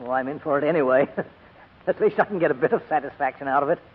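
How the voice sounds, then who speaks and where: A man's voice: fairly high pitch, fast talker, natural voice. An actor playing a rash young male prisoner, sardonic and theatrically defiant, in a 1940s radio drama. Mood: bitterly amused. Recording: tinny, phone-like old radio recording.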